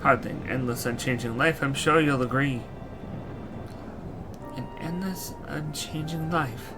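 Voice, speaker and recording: A man voicing a courteous knight's soul housed in a machine, reading video game dialogue in a formal, measured manner. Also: mid-range voice, close to an everyday voice. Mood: formal, reflective. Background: game music.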